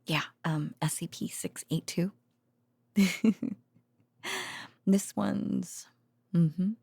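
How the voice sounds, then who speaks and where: A woman playing a tender, worn-out researcher girlfriend in an intimate bedtime audio roleplay, speaking haltingly and drifting into whispers. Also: fairly low pitch, fairly even intonation, vocal fry, mellow, breathy edge, dry recording, heavily acted. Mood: sleepy, musing.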